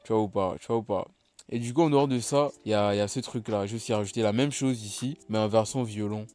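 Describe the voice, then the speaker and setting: A man with a slight cold explaining how he built a beat, in a relaxed, chatty way with frequent hesitations. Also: on the low side, fast talker, soft background music. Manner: laid-back, chatty, many hesitations. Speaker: beatmaker walking through his own production. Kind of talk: casual tutorial narration.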